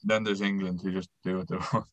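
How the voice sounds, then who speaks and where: A man's voice: low-pitched, level tone, brisk, no echo. A young man co-hosting a podcast in loose, sweary casual chat. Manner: loose and sweary, laughs often. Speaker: podcast co-host.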